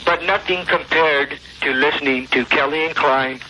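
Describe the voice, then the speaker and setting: A man's voice: on the high side, moderate pace, bright, forceful tone, slight background noise, low-quality recording. A man playing a grand old biblical prophet in a comic radio promo. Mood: solemn, proud.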